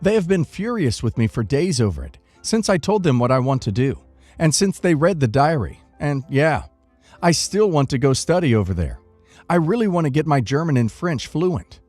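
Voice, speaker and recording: A man narrating first-person online stories from a script in an expressive reading manner. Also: on the high side, moderate pace, dry recording, faint music behind.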